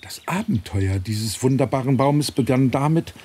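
A man's voice: mid-range voice, lively intonation, normal speed, warm tone, breathy edge. A middle-aged man reading a children's storybook as scripted audiobook narration. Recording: nature ambience and birdsong behind voice. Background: birds, forest ambience.

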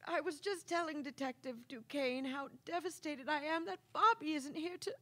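A woman's voice: high voice, normal speed, fairly bright tone. A woman playing an emotional, anxious, earnest nun in a comedy radio play. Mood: upset, devastated.

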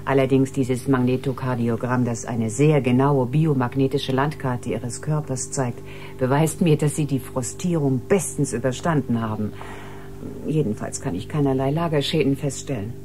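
A brisk, slightly condescending ship doctor in a science-fiction radio play. Her voice is very low and her manner clinical and instructive. Music runs clearly underneath. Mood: cheerfully professional. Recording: music playing behind the voice.

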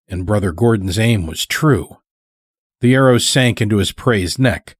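A man hosting and narrating a horror fiction podcast, reading from a script in a measured, deliberate way. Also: on the low side, slightly rough, dry recording, clean studio sound. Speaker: podcast host and story narrator.

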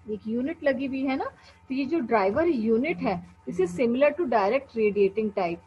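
A young woman with an Indian accent teaches in an explanatory lecture style, pausing on little check words. Her voice sits a bit high. The recording is dull and lo-fi, with a faint hum behind her. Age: young adult. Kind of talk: explanatory classroom lecture mixing Hindi and English.

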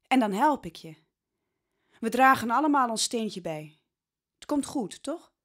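A woman's voice: a little high, animated, unhurried, voice pushed up. Peasant wife played by a woman for audio drama: eager, coaxing, anxious, raised voice. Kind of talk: Dutch audio drama acting.

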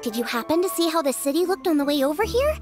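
A woman's voice: high-pitched, sing-song, quick pace, over music. A woman voicing a cheerful, eager teenage girl chef in an anime video game, with a youthful, enthusiastic delivery. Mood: excited. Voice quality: youthful, girlish. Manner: perky, enthusiastic.

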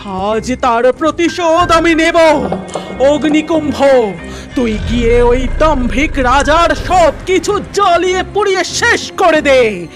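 A man's voice: very high voice, expressive, over music, heavily acted. A man voicing a vengeful female tantric sorceress in a cartoon fairy tale, commanding and threatening. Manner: commanding, threatening, drawn-out delivery. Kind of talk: animated fairy-tale villain acting.

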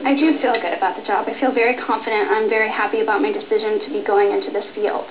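A woman's voice: mid-range voice, steady tone, fast, a crowd in the background. A young woman, a student, answering interview questions. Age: young adult. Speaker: air traffic control student. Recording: muffled phone-like roomy TV capture.

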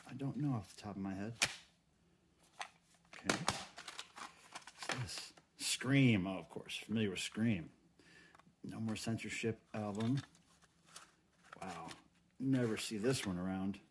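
A middle-aged American man giving casual, folksy unboxing commentary as a host, thinking aloud as he goes. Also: medium pitch. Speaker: host of a cassette unboxing video. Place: indoors at a tabletop. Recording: clear crinkling and handling noise. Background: plastic wrap crinkling, cases clicking.

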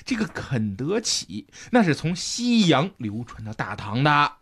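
An actor playing a whiny, boastful second-in-command shopkeeper in a comic radio play, with melodramatic, drawn-out wailing. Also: male voice, on the high side, very animated, background noise. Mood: aggrieved, boastful. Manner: melodramatic, sing-song wailing with drawn-out words. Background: music behind the voice.

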